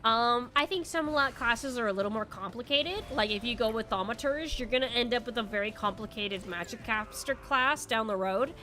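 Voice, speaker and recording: A woman's voice: fairly high pitch, normal speed, hardly any pauses, pushed and bright. Chatty congested young woman streamer. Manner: chatty, rambling, swears casually. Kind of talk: casual game livestream chat. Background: faint background music.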